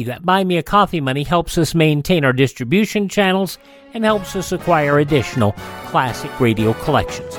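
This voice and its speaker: Casting: male radio presenter for scripted outros, raised pitch, animated, dry studio sound, music bed. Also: dry recording. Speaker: radio show host.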